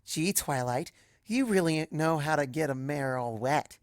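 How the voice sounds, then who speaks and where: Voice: medium pitch, lively intonation, measured pace, no room sound, lightly acted. A young pegasus mare, as a storybook narrator plays her, talks in an affectionate, playful way, both teasing and reassuring. The voice sits mid-range in a dry, close recording.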